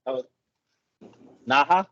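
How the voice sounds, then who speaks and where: A man's voice: medium pitch, slow pace, fairly bright tone, dry recording, dull audio. A man who speaks English as a later language, chatting casually as a podcast co-host and retelling a story in a rambling way, often correcting himself. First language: not English.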